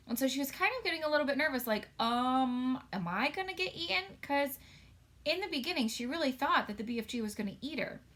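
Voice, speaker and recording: A woman's voice: on the high side, a bit bright. A woman teacher giving a casual read-aloud, chatty and explanatory as she addresses young pupils. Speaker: elementary school teacher.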